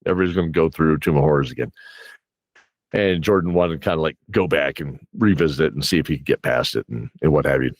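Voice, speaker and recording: Low-voiced man telling tabletop game stories. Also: fast, dry recording, clean studio sound. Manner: rambling, acts out players' reactions in quotes. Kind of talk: casual animated storytelling chat.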